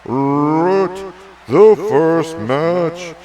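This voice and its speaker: A man playing a grandiose boxing ring announcer, stretching out his fighter introductions in an animated show. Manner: drawn-out, booming introductions. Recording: crowd noise behind the announcer.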